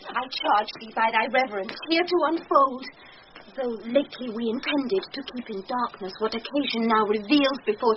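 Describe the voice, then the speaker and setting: An actress playing a lovestruck, imperious noble lady, with stately, emphatic Shakespearean diction for radio. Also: high-pitched. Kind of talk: classical Shakespearean radio drama acting. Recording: dull, noisy old radio broadcast.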